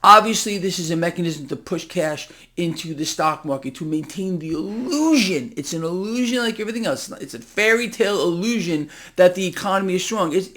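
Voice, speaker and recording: High-pitched, emphatic man giving market commentary. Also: lively intonation, fairly quick, few pauses, fairly bright tone. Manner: emphatic, incredulous, conspiratorial, addresses listeners directly. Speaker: host of a financial market commentary channel. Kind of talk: impassioned unscripted market commentary to viewers.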